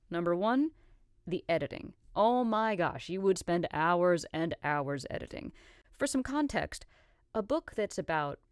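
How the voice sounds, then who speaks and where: A woman's voice: lowish voice, no room sound, studio quality. A woman voice actor casually explaining and answering questions, emphatic and thinking aloud as she goes.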